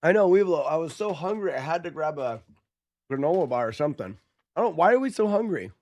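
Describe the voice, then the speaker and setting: A man's voice: on the high side, very animated, fast talker, a little mellow. A man live streaming a game in a casual way, chatting to viewers and thinking aloud as he goes. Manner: talks to chat, thinking aloud, muttering.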